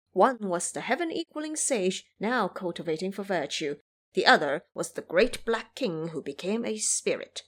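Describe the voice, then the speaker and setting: A woman narrating a classic fantasy adventure in an audio drama, telling it vividly. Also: medium pitch. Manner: vivid, expressive storytelling. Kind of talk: scripted storybook narration.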